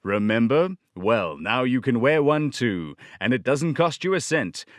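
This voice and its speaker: A man plays an earnest 1930s radio announcer. His mid-pitched voice delivers scripted lines with a pitchman's emphasis. The sound is dry, with no room echo. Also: sing-song, measured pace. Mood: enthusiastic selling.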